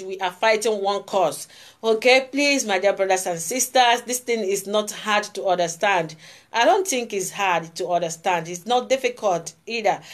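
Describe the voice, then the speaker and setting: A woman in her forties with a Nigerian Igbo accent, talking straight to her phone camera in a casual vlog, emphatic and repeating her points. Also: medium pitch. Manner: emphatic, repeats phrases, gestures while talking. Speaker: vlogger. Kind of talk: casual political vlog monologue. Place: indoor room before hung flags. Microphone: phone camera close up.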